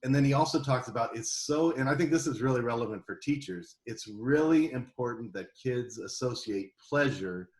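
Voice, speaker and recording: A middle-aged man speaking as an interview guest, telling personal stories in an animated, conversational way. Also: mid-range voice, moderate pace, few pauses. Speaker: guest, homeschooling parent.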